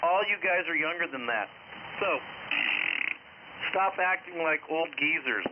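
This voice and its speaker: Higher-voiced man over noisy radio. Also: animated, measured pace. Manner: breezy, choppy short sentences. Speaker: amateur radio operator. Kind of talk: casual ham radio chat. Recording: dull, noisy ham radio transmission.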